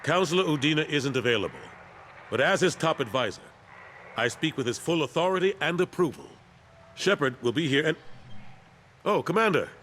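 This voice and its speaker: A man's voice: a little high, expressive, brisk, pauses often, fairly bright tone, a little hiss or hum. A man playing a loyal, weary older military statesman in a sci-fi video game. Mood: cordial.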